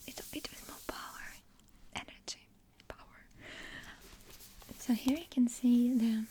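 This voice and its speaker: A woman in her twenties, a native Russian speaker, whispering in a soft, meandering ASMR ramble close to a desktop condenser mic. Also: fairly high pitch, slightly rough. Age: 20s. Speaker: ASMR creator. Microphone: desktop USB condenser mic.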